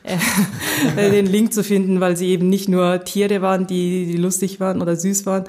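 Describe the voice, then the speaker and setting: A woman's voice: medium pitch, level tone, normal speed, darkish tone. A woman, a guest in an interview, speaking hesitantly with many fillers and restarts. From Japan. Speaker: interview guest, agricultural researcher. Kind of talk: casual interview.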